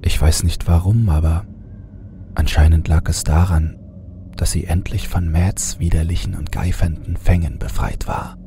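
A man narrating a scripted horror audiobook in a deliberate, suspenseful manner, sometimes hushed. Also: deep voice, little pitch movement, noisy background.

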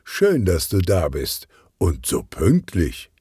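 A man voicing a sporty, friendly police officer in a children's audiobook, with an animated, earnest manner. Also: low voice, slow, slightly rough, dry recording, studio quality, heavily acted. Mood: cheerful greeting.

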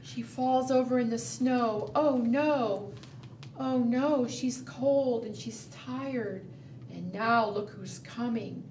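Slow-talking woman storyteller, roomy sound. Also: on the high side. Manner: simple, expressive, asks the listeners questions. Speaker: children's librarian and storytime host. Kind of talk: picture-book storytelling for preschoolers. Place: indoor room, book shown to camera. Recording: roomy, distant mic, background noise.

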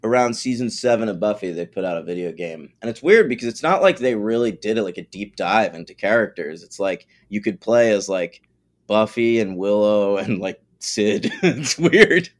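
A man's voice: fairly low pitch, expressive, normal speed. A man co-hosting a podcast, chatting in a laid-back, profane way and sharing trivia about the show. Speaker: podcast co-host. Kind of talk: casual episode recap chat.

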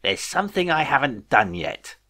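A man's voice: mid-range voice, big pitch swings, normal speed, bright, forceful tone, pitched above the performer's own voice. A man voicing a petulant, vindictive young space overlord in a whiny, theatrical way.

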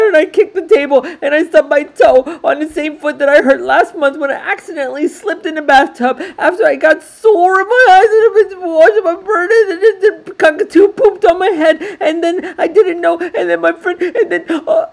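A woman's voice: high voice, voice pushed up. A woman voicing a small boy who is crying and rambles through a breathless list of complaints. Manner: breathless tearful rush of complaints.

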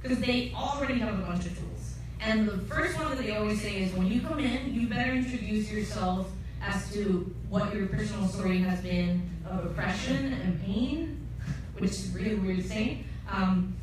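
A woman's voice: mid-range voice, normal speed. A young woman from Costa Rica answering as a panelist, candid and conversational with many fillers. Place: conference room panel, large hall. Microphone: table mic, recorded from the audience. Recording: echoey hall from far off, noisy.

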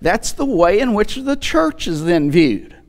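High-pitched older male preacher, slow delivery. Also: big pitch swings. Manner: emphatic, rhetorical questions and repetition. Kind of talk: sermon. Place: church pulpit, indoors. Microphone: headset microphone.

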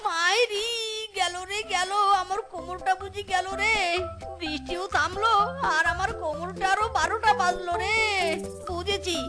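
A performer voicing a henpecked male cartoon fox, whiny and cheeky, exclaiming and complaining in a squeaky voice. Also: steady tone, moderate pace. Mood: miserable, complaining. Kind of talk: animated cartoon dialogue. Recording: music behind the voice.

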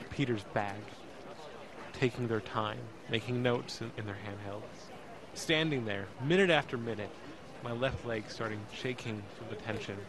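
An actor playing an anxious, emotional young man who narrates his ordeal in an audio drama, intense and halting. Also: on the low side, lightly acted. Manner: intense, halting, repeats words.

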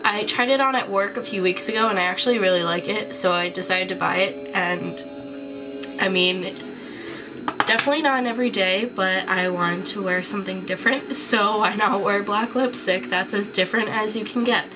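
A woman's voice: medium pitch, moderate pace, over music, rough recording. A woman in her twenties with a cold, chatting casually to the camera in a bedroom as she shows off a collection. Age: 20s. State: sick with a cold. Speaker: vlogger. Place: small bedroom, indoors.

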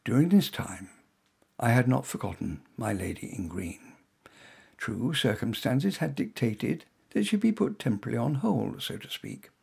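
Gravelly, wry English storyteller. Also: male voice, normal speed. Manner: dry, wry, conversational delivery with lively pitch. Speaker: author reading his own story. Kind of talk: first-person storytelling.

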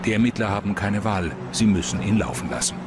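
A man's voice: fairly low pitch, fast, heavy background noise. A man reading scripted true-crime documentary narration as the narrator.

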